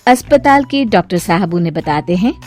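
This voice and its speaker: A woman's voice: lowish voice, animated, brisk, darkish tone, soft background music. A woman narrator reading a scripted story.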